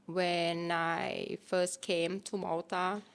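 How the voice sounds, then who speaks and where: Slow-talking woman from Thailand. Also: on the low side, gravelly, clean tone. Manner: relaxed, frequent fillers and restarts, non-native phrasing. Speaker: guest. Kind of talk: casual interview chat.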